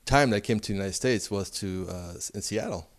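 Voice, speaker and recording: Low-voiced man from Mexico City. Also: a little fast. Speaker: photographer, guest. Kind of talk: casual interview.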